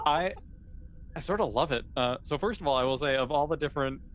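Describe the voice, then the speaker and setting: A man's voice: medium pitch, fairly quick, slight background noise. A man speaking as an easygoing, encouraging game master, casually narrating a tabletop game and chatting with players.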